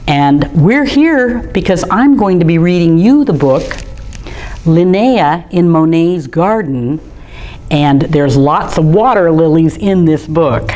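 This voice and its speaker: A woman's voice: fairly low pitch, lively intonation, takes it slowly, dark tone, slight background noise. A woman in an art museum gallery, reading a picture book aloud to young children in a chatty, friendly way and talking straight to them. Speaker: museum storytime reader.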